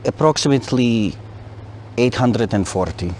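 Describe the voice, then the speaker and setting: An actor playing a stern, cold Soviet military commander in a video game cutscene, speaking in a clipped, menacing way. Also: male voice, mid-range voice, animated, measured pace, darkish tone, slight background noise, natural voice. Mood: cold report.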